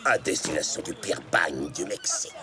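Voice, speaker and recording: An actor playing a pompous, sneering villainous military commandant in a cartoon, mock-polite and theatrical. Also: male voice, deep voice, moderate pace. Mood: smug, sarcastic. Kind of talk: French animated cartoon dub acting. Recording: loud background music.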